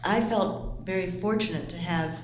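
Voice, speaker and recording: An older woman who grew up in the Panama Canal Zone, recalling her school years in an oral history interview in a measured, reflective way. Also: fairly low pitch, a little hiss or hum. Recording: echoey room with faint ambience.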